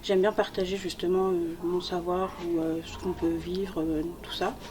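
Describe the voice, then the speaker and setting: Woman in her twenties, lowish voice. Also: normal speed, noisy background. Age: 20s. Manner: steady, filler-heavy. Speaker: student ambassador. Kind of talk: casual testimonial.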